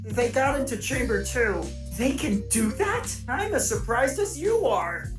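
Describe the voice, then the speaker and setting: A fussy cartoon robot henchman , played by a woman frets in a slow, sighing voice that rises and falls widely. The take sounds roomy and echoey, with music running beneath it. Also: on the high side, big pitch swings. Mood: fretful. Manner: worried, sighing. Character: fretful male robot henchman, anxious and fussy.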